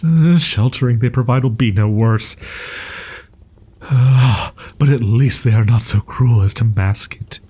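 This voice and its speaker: A man playing a proud, wounded young wolf-boy in an audio roleplay, stammering in archaic, formal phrasing with a husky, breathy voice. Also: mid-range voice, a little slow, dark tone. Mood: anguished, defiant. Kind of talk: roleplay audio drama, ASMR.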